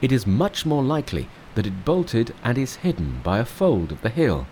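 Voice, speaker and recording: A man's voice: mid-range voice, very animated, normal speed, a little mellow, slight background noise, low-quality recording. A man voicing a timid, fretful old monk who worries and complains. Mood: doubting. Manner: plaintive, worried.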